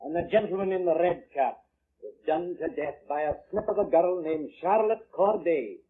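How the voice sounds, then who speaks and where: A man's voice: fairly high pitch, moderate pace, slightly rough. An actor playing a solemn murdered Scottish king, speaking in a stately, archaic manner in 1940s radio drama style. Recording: tinny vintage radio recording.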